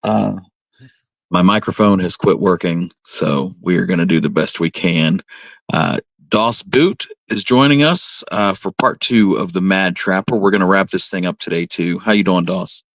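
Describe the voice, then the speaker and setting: A man's voice: sits low, moderate pace, vocal fry, a bit bright, no echo. A man casually hosting a radio show, speaking over a telephone. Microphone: rotary telephone.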